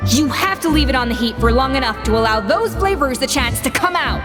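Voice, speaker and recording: A woman's voice: high-pitched, lively intonation, brisk. A woman voicing a demanding perfectionist head chef who speaks in a curt, dry way. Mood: stern. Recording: game audio with loud music.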